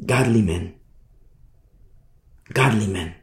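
A man preaching a sermon in an emphatic, repetitive style, swinging from hushed to loud. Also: lowish voice, takes it slowly. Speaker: pastor. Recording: roomy echo.

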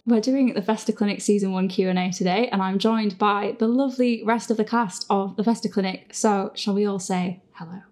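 A woman's voice: mid-range voice, steady tone, fairly quick, hardly any pauses, a little mellow. A woman hosting a casual group Q&A in a living room, with a giggly, informal manner. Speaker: host and show creator.

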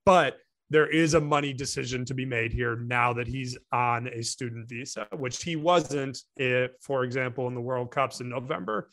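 A man's voice: medium pitch, moderate pace, fairly bright tone. A man co-hosting a swimming podcast, chatting casually and thinking aloud with frequent restarts. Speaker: podcast co-host. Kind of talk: casual sports podcast chat.

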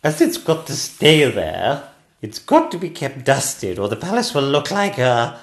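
A man voicing a cross, haughty Queen in a comic storybook tale, speaking in slow, emphatic pronouncements. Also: medium pitch, wildly swooping pitch, voice pushed up.

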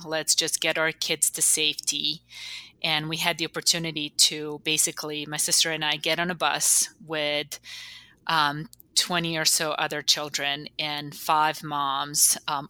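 A woman in her forties from Bosnia, recounting personal memories as an interview guest in a halting, reflective way. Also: sits low, bright, forceful tone, clean tone, no echo. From Bosnia (former Yugoslavia). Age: 40s.

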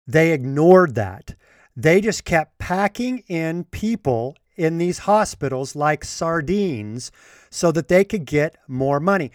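A man hosts his podcast alone, his voice a little congested and his throat needing clearing now and then. He talks earnestly and with indignation, his pitch sitting a touch above the usual for a man. The sound is dry and close, with no room around it. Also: no room sound. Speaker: podcast host. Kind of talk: solo podcast commentary.

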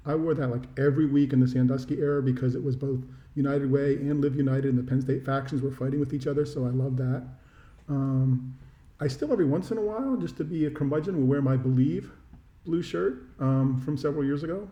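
A man's voice: mid-range voice, brisk, muffled recording. A middle-aged American man co-hosting a podcast, chatting casually about sports in a wry, self-deprecating, rambling way, with a roomy echo. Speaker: podcast co-host. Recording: roomy, echoey sound.

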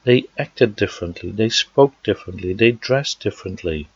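A man from the Netherlands with a Dutch accent, preaching a sermon and working through scripture verse by verse, pausing with fillers as he explains. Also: on the low side, slightly rough, no room sound. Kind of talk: sermon teaching. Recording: dry, dull lo-fi sound.

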